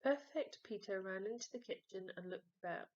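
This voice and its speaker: A woman storyteller with a creaky voice, narrating a children's story expressively. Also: mid-range voice, moderate pace, darkish tone, highs cut off.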